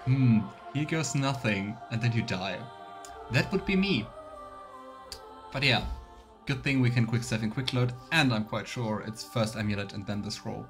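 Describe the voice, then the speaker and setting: A man streaming a video game with casual commentary and role-play asides, thinking out loud. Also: mid-range voice, moderate pace, soft background music.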